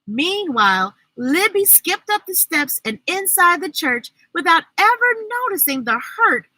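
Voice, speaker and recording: A woman storyteller with a high voice, recorded close and dry. She reads to children at an unhurried pace, expressive and deliberate, dropping in comments of her own. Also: no room sound, rough recording. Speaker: storyteller, host. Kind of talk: children's storybook read-aloud with asides.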